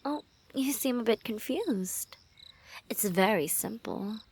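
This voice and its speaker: A woman playing a lovestruck assassin in an intimate ASMR roleplay, teasing and menacing, speaking softly and often dropping into whispers. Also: on the high side, animated, takes it slowly, slight background noise. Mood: quietly menacing.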